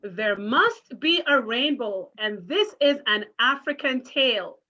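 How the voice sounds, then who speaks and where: Casting: woman, primary teacher, somewhat high voice, interactive storytime delivery, muffled online-call sound. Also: expressive, slow, sharp tone. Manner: encouraging, careful teacherly address with questions. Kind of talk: interactive children's read-aloud. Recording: muffled video call audio.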